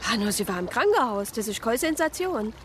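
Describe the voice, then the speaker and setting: Casting: forthright deaconess for radio drama, fairly high voice, lively intonation. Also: female voice, brisk, slight background noise. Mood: sweet, pert. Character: forthright deaconess, a religious sister. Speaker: actress in radio crime drama. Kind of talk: German radio play acting.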